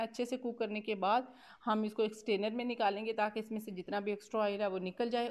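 A woman's voice: mid-range voice, darkish tone. A woman with a Pakistani accent giving a casual, conversational cooking voice-over, explaining steps with repeated filler phrases. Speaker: cooking vlogger.

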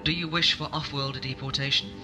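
An actor playing an officious, impersonal bureaucrat in a sci-fi audio drama, formal and curt. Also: male voice, mid-range voice, steady tone, fairly quick, lightly acted. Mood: cold, officious. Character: cold, officious male bureaucrat in authority. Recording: music behind the voice.

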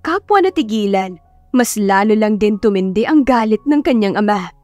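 A woman's voice: mid-range voice, lively intonation, moderate pace, darkish tone, dry recording. A woman narrating a scripted romance story in a dramatic audiobook style. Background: music.

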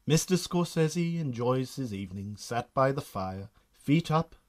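A man's voice: mid-range voice, animated, a little slow. A man with a Northern Irish accent narrating a scripted radio drama.

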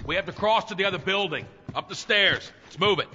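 An actor with an American accent playing a gruff, paranoid, hot-tempered middle-aged survivalist in a video game, belligerent and sarcastic. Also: male voice, high voice, fairly quick, loud music behind the voice, lightly acted. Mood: bossy. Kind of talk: dramatic video game acting. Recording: muffled game audio with background noise.

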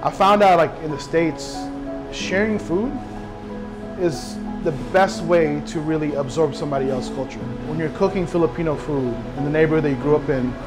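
A man's voice: fairly high pitch, moderate pace, music in the background. A young man from Houston whose first language is Tagalog, hosting a documentary and chatting in a friendly, agreeing way. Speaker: documentary host. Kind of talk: casual conversation.

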